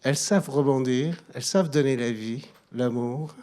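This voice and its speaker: Earnest Afghan man, halting testimony. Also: mid-range voice, dark tone. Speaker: guest speaker. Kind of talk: testimony at a public event. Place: hall at a public evening event.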